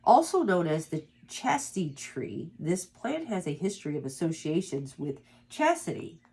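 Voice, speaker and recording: A woman with a stuffed-up nose from allergies, reading slowly and softly from a guidebook as an oracle card reader, with small stumbles and asides. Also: low voice, very animated, husky. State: congested from allergies.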